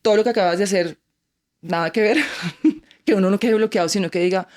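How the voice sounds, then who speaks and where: Fast-talking Colombian woman, animated. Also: medium pitch. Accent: Colombian Spanish. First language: Spanish. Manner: animated, acts out quoted voices. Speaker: actress, guest. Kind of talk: casual interview chat.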